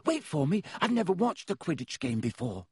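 Man doing a high piping schoolboy voice, breathless eager fan, storybook figure, dry close read. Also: big pitch swings, slightly rough, dry recording, exaggerated voice. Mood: eager, awestruck. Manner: breathless, piping, rapid questions. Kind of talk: audiobook character voice.

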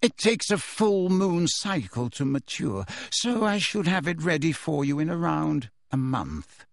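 A man voicing a cold, indifferent male professor who speaks slowly, smoothly and sardonically, in a dry close recording. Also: on the high side, animated, dry recording. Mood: cold sardonic. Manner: slow, smooth, cool, sardonic.

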